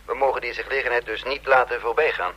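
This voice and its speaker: An actor playing a courteous, self-possessed intelligence chief in a radio drama, formal and measured, with hesitant pauses. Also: male voice, medium pitch, fairly even intonation, sharp tone, low-quality recording. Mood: businesslike, polite. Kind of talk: Dutch radio drama acting.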